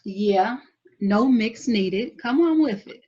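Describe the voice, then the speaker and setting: A woman's voice: a little high, animated, slow, warm tone, slight background noise. Woman playing a proud driven twenties girl, blunt slangy talk, drama table read, kinda dull lo-fi sound. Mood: defensive, proud. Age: young adult. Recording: dull lo-fi recording.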